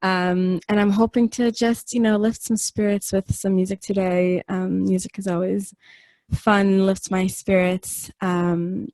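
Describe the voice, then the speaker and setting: A woman's voice: medium pitch, flat delivery, measured pace, a little mellow, no room sound. A woman from Israel and the United States, a singer giving a casual, chatty spoken introduction from home on an online call. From Israel and the United States, raised in both. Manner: chatty, informal.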